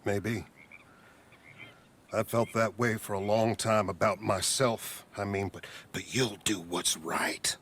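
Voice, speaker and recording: Halting, earnest frontier reverend. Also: male voice, lowish voice, steady tone, fairly bright tone, a little hiss or hum. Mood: gentle, earnest. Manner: hesitant, earnest, stammering. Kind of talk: dramatic video game cutscene acting.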